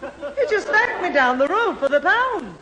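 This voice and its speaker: A woman's voice: extremely high pitch, very animated, a little slow, a crowd in the background, exaggerated voice. A woman playing an indignant, nagging middle-aged mother in a 1970s British radio sitcom. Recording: reverberant old radio recording, audience, faint hiss.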